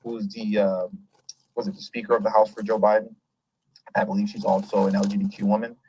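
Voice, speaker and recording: A man hosting a casual commentary show, talking in an animated, emphatic and rambling way and laughing often. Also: on the low side, fast, slight background noise, rough recording. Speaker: host.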